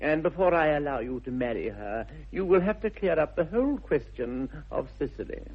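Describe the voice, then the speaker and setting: Witty young upper-class English bachelor, husky, arch, languid, Victorian radio comedy, narrow tinny old recording. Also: male voice, fairly high pitch, expressive, normal speed. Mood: mock indignant. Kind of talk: 1960s British radio comedy acting. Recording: thin, band-limited old radio recording.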